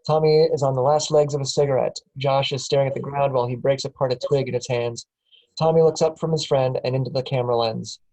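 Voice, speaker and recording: A man reads the stage directions aloud at a script table reading. His voice sits at a mid pitch, and he keeps a plain, steady delivery. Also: quick pace, low-quality recording. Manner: plain, steady, chuckles at times.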